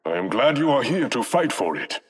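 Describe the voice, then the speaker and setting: An actor voicing a proud warrior king in a video game, with an African accent and a measured, dignified, formal manner. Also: medium pitch, very animated, husky. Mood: solemn, assured.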